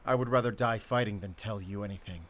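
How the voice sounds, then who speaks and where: An actor playing a bitter, defiant lone werewolf hunter, giving terse retorts in an audio drama. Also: male voice, fairly low pitch, fast talker, fairly bright tone, lightly acted. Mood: bitter defiance. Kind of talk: cinematic audio drama acting.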